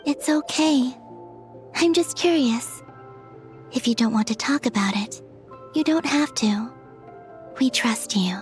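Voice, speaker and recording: A woman voicing a calm, perceptive and gently kind young investigator in a video game, speaking in a measured, thoughtful, soft-spoken way. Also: sits high, soft background music. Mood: gentle, reassuring.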